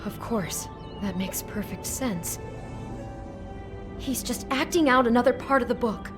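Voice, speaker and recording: An actress playing an anxious young woman in a video game, talking in an expressive, worried way with stammering restarts. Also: fairly high pitch, measured pace, natural voice. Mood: thoughtful. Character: anxious young woman, kind, easily unsettled. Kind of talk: video game cutscene acting. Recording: game audio with music behind.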